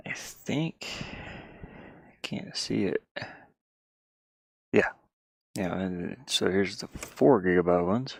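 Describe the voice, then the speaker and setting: Male streamer, low and fry-heavy, off-the-cuff rambling delivery, thinking aloud. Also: takes it slowly, pauses often, a little mellow, no room sound. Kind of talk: casual live stream chat. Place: inside a van he lives in.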